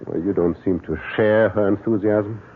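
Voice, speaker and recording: Fatherly older physician: low voice, soothing, hesitant, vintage radio drama with thin sound. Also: steady tone, normal speed, breathy edge. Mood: genial. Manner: avuncular, hesitant with small uhs, soothing. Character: older fatherly male doctor, Viennese, reassuring and kindly. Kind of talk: old-time radio drama acting. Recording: narrow noisy old radio broadcast.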